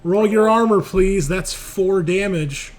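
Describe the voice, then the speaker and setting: A man's voice: high-pitched, takes it slowly, slight background noise. A man running a tabletop roleplaying game as game master, moving between casual rules talk and dramatic, sweary narration.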